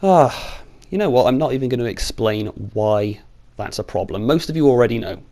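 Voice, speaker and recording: A British man presents scripted opinion commentary as a video host. His delivery is informal and sardonic, opinionated and blunt, in a mid-pitched voice. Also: lively intonation, brisk, dark tone.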